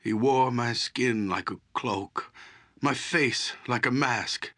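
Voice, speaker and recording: An actor playing an aged, solemn druid in a fantasy game, with a husky, breathy voice and a halting delivery. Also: male voice, mid-range voice, bright, forceful tone, heavily acted. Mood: weak, dying.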